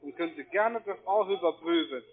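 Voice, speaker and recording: Man, foreign accent, high voice, tinny phone line, insistent salesman, halting repetitive phrasing. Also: husky. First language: not German. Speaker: call center agent selling trading courses. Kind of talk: persuasive phone sales talk. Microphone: telephone.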